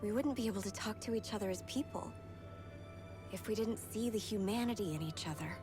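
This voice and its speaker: A woman's voice: mid-range voice, brisk, over music, lightly acted. A woman playing a dutiful young ceremonial maiden in a video game, composed, sincere and earnest. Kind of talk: video game cutscene voice acting.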